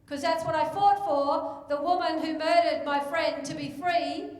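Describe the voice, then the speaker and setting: High-voiced woman speaking in a hall. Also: steady tone. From Australia. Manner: slow, deliberate, emphatic delivery. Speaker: activist speaker. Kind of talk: public speech at a gathering. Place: large event hall. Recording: echoey hall, crowd behind.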